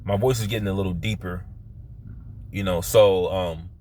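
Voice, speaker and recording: A man with a Philadelphia accent telling personal stories casually, halting and often circling back to repeat himself. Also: low voice, steady tone, darkish tone. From Philadelphia, United States. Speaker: vlogger telling his life story. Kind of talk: casual rambling personal storytelling.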